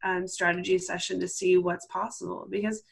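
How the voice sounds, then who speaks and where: A woman's voice: lowish voice, level tone, a little fast, vocal fry. A woman, speaking as an interview guest and coach, earnest and conversational, acting out quoted exchanges as she explains. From Canada.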